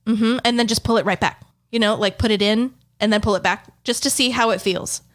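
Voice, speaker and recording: Deadpan woman podcast co-host. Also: a little high, fairly quick. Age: 30s. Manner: dry, deadpan teasing. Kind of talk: casual comedic chat.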